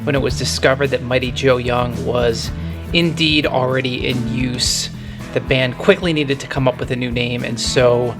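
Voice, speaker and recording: A man's voice: medium pitch, steady tone, normal speed, vocal fry. Middle-aged man hosting over guitar music. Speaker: podcast host. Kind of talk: music podcast talk, retrospective commentary.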